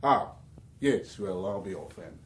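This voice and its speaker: An actor playing a chatty, cheeky British messenger in a radio drama, rambling, colloquial and ingratiating. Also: male voice, low voice, animated, a little slow. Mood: deferential.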